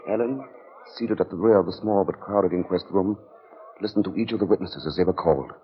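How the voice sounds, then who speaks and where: A man's voice: deep voice, brisk, natural voice. A man narrating a suspenseful 1940s radio thriller in a grave, dramatic manner. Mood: measured, tense. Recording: dull, noisy vintage radio recording.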